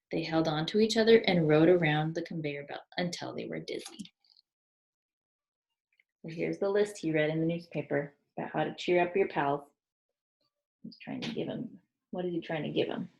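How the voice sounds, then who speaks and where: A woman reads a children's picture book aloud in a voice a little lower than average. Her delivery is expressive, and she breaks from the story to ask questions and point out the pictures. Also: normal speed, pauses often, dull audio. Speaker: storybook reader, teacher.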